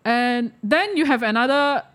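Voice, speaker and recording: A woman with a Malaysian accent in casual discussion, speaking haltingly and often checking in with the listener. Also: on the high side, lively intonation, a bit bright. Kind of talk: casual discussion of social issues.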